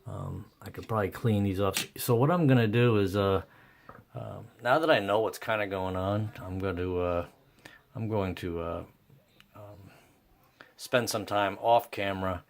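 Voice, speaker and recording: A man talking casually and without a script through a repair as host, haltingly thinking aloud. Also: fairly low pitch, vocal fry. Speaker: host of a radio repair video. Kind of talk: casual unscripted repair commentary.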